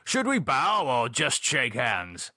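Man acting hearty older English toff, upper-class accent, jovial, clipped, animated pitch, audiobook character. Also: slow, husky, dry recording, exaggerated voice. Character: hearty posh older Englishman, jolly society gent.

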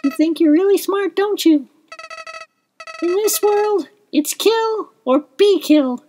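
A woman's voice: very high-pitched, animated, warm tone, a little hiss or hum, voice pushed up, heavily acted. Woman doing a smug, menacing little evil flower. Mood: smug, taunting. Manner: mocking, drawn-out taunts. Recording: game music behind.

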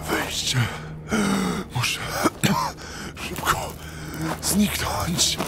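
An actor playing a wounded, desperate hunted thief in a fantasy audio drama, speaking in strained, halting mutters and curses. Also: male voice. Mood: pained, determined. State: in pain, labored, injured. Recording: loud music behind the voice.

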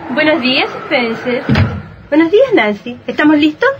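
An actress playing a charming, confident seductress with a flirtatious manner, in radio drama style. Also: female voice, high voice, very animated, normal speed. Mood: flirty, teasing. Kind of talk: radio horror drama acting. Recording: dull, noisy old radio recording.

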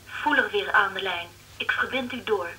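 An actress playing a businesslike secretary in a radio drama, making formal announcements. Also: female voice, medium pitch, normal speed, bright, forceful tone, a little hiss or hum, poor audio. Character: businesslike female switchboard secretary.

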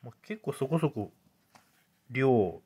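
A man's voice: medium pitch, very animated, takes it slowly, dark tone, husky. A man in his thirties talks casually as a parent vlogger, explaining things in a hesitant, think-aloud way with trailing phrases.

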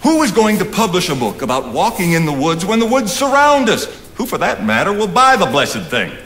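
Jokey old pal, teasing, bouncy voice, big echoey theatre. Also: male voice, high voice, very animated, fairly quick, fairly bright tone, a little hiss or hum. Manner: teasing, jovial, chuckling. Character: genial older male friend and writer, teasing and practical. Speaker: stage actor. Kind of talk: stage play acting. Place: theatre stage. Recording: hall reverb of a theatre stage.

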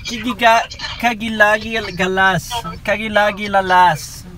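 A man's voice: sits high, level tone, measured pace, sharp tone. A man in his twenties, laid-back and joking around while sitting in a parked car at night, filmed from the passenger seat. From Philippines. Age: 20s. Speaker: friend. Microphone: camera held from passenger seat.

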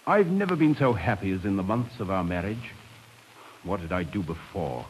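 An actor playing a bluff, devoted English cavalry officer with a clipped manner in a 1950s radio drama. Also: male voice, low-pitched, animated, moderate pace, poor audio. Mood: tender, earnest. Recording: hissy 1950s radio recording.

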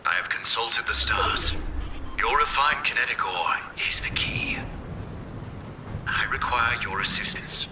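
An actor playing a grave, secretive scientist of the stars in a video game, speaking in a solemn, halting, formal way with a hushed, breathy voice. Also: male voice, medium pitch, very noisy, natural voice. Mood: guarded, formal. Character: grave, secretive cosmic scientist serving a champion. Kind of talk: video game audio log acting.

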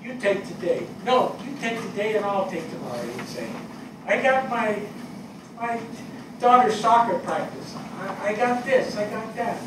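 A man's voice: sits high, lively intonation, recorded from a distance. Older man acting a grieving fire captain, echoey. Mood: bewildered grief. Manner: plain-spoken, halting, searching. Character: grieving fire captain, plain-spoken and bewildered. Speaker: actor in a staged play reading. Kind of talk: dramatic stage reading. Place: small indoor theatre stage before an audience. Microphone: distant camera mic. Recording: echoey stage picked up from afar, noisy.

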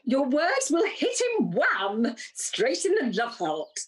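A woman's voice: high voice, huge pitch swings, slow pace, husky, full character voice. A woman playing an eager, teasing village friend in an amateur radio pantomime.

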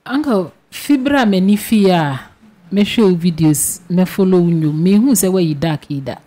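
Mellow young Ghanaian woman interviewer. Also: lowish voice, animated, moderate pace. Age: young adult. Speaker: radio host, interviewer. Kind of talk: casual interview. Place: small radio studio. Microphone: studio microphone on boom arm.

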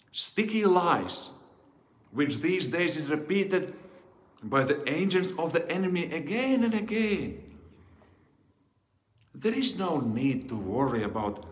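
Guy pastor preaching slow n solemn, voice goes up and down a lot, big church reverb. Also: on the high side. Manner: solemn, deliberate phrasing with pauses. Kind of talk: sermon. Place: indoors in a church, before the altar. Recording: echoey church hall with long reverb.

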